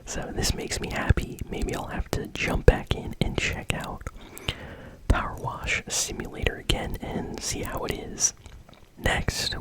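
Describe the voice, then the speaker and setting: An ASMR host speaks entirely in a breathy whisper. The delivery is casual and chatty, like someone sharing gaming news with friends in a hushed, unvoiced voice. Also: poor audio.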